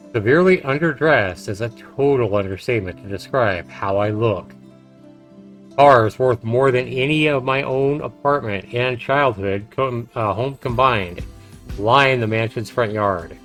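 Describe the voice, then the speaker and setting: Halting male streamer reading aloud over music. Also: medium pitch. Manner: halting read-aloud with stumbles and self-corrections. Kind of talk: reading a visual novel aloud with casual asides.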